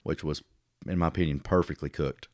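Deep fast-talking Texan man. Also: steady tone, a little mellow, dry recording, studio quality. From Texas. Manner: chatty storyteller, laughs easily. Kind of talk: casual chat.

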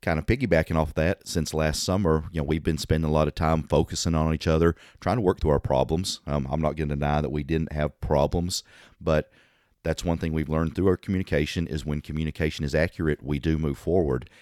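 A man co-hosting a podcast in casual chat, his voice hoarse and raspy today. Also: extremely low pitch, steady tone, fairly quick, a little mellow, no echo, clean studio sound. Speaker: podcast co-host.

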